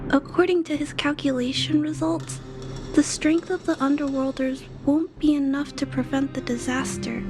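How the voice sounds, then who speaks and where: Game role: gentle young girl, high voice, hesitant, polite, quietly stubborn, music behind. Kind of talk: video game voice acting. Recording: clear background music under voice.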